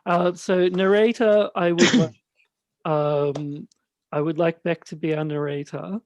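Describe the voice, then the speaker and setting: Casting: male podcast host with a light, high-pitched voice, slow and halting chat, recorded dry. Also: fairly even intonation, no echo. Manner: hesitant, halting delivery. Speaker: host and radio play director.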